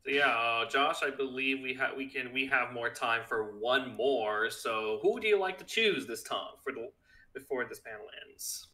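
A man moderates a panel in a bright, medium-pitched voice. His hosting is casual. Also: normal speed.

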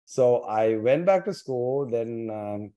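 A man's voice: mid-range voice, expressive, takes it slowly, mellow, lo-fi sound. Sri lankan man telling stories on a video call. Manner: rambling, many fillers and restarts. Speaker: guest, company founder. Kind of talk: casual storytelling interview. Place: home office with dark bookshelves.

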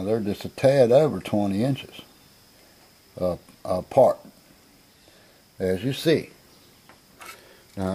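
Blunt American man with a mellow voice. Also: medium pitch. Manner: blunt, self-assured, folksy phrasing. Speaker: auction seller presenting an item. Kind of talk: informal sales video narration. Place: indoor room, narrating from behind a handheld camera.

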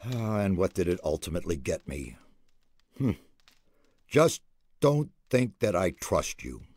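A haughty male mage from a fantasy video game. His voice is husky and swings widely in pitch. He speaks with pompous, theatrical scorn, as if scolding a fool. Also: very animated, a little slow, a little mellow, no room sound, heavily acted. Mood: wary, grudging. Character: haughty, irritable male mage guarding ancient secrets.

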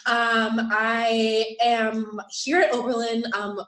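A woman's voice: on the high side, flat delivery, slow, a bit bright. Young female panelist, under the weather, casual and anecdotal, echoey room. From Pittsburgh. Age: young adult. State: sick with a cold. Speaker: student panelist. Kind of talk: casual chat. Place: conference room.

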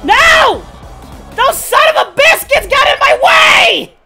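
A woman chatting casually with friends while playing a game, often yelling and laughing. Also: very high voice, expressive, slow. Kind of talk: casual gaming chat. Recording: game music behind.

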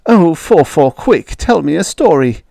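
A man's voice: fairly high pitch, very animated, measured pace, vocal fry, dark tone, dry recording, voice pushed up, exaggerated voice. A man voicing an emotional young boy devoted to his robot, exclamatory and childlike, in a story. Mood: frightened, pleading.

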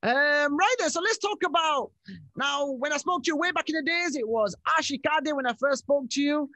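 A man's voice: very high-pitched, moderate pace, sharp tone, no room sound. A young man hosting an upbeat radio interview, speaking into a studio microphone. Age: young adult. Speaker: radio show host. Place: small radio studio indoors. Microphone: studio microphone with headphones.

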